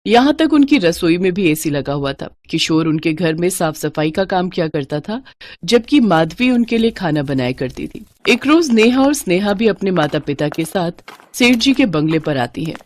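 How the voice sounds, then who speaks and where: A woman's voice: lowish voice, sing-song, moderate pace, darkish tone. A woman narrator reading a scripted story in a storytelling style.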